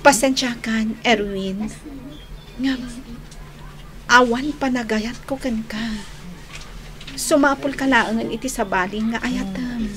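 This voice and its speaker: High-voiced sincere young college girl. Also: slow, long pauses, fairly bright tone. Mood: gently firm. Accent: Filipino, Ilocano. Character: sincere young woman college student, sweet, lovelorn, gently frank. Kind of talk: Ilocano radio drama acting.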